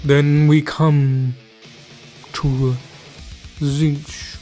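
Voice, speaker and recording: A theatrical narrator delivers grim fantasy lore at a very slow, deliberate pace. The delivery is grandiose and ominous, often dropping toward a hushed whisper. Music plays underneath the voice. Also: mid-range voice, little pitch movement. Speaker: narrator of a fantasy audio drama. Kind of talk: dramatic scripted lore narration.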